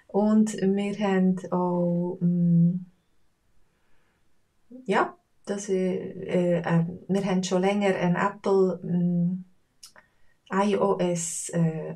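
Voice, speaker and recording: Swiss German woman, casual teacher, rambling with fillers, slightly distant mic. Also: lowish voice. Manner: relaxed, chatty, with many fillers. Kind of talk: casual spoken lesson.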